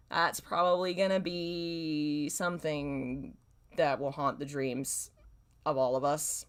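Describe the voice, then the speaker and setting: A woman hosting a casual livestream, talking in a self-deprecating, dramatically exasperated way. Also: on the low side. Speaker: livestream host. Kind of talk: casual livestream chat, reading own old fan fiction.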